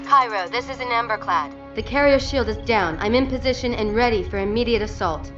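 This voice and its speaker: A woman's voice: a little high, a little fast, fairly bright tone, close to an everyday voice. A woman playing a resolute, dutiful naval ship commander in a sci-fi game, with clipped military delivery. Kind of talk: cinematic game voice acting. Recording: dull audio with music behind.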